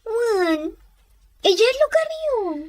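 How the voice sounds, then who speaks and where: A woman's voice: extremely high pitch, sing-song, drawn-out words, no echo, clean studio sound, exaggerated voice. A woman acting an eager, nagging young provincial sweetheart in an Ilocano comedy drama. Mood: pleading, eager.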